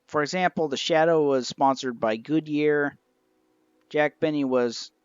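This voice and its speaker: A man's voice: medium pitch, smooth, no echo, muffled recording. A man narrating an informal review of a collection as its reviewer. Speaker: reviewer of an old radio show collection. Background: music.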